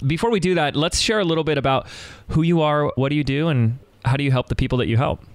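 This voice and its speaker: A man's voice: on the high side, quick pace, no room sound. A man hosting an interview podcast. He introduces his guest and asks questions in an enthusiastic, effusive way, often restarting his sentences. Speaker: podcast host.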